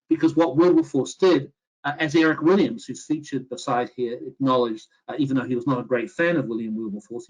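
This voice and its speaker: A middle-aged man lectures on history in a voice of ordinary pitch, his accent a blend of New Zealand, Australian, English and American. He speaks in measured academic phrases, often pausing on "uh" and restarting words mid-thought. He sounds like he is on a home computer mic in a video call. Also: low-quality recording. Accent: mixed New Zealand, Australian, English and American. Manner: measured, with frequent "uh" fillers and self-corrections. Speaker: history professor giving a webinar talk.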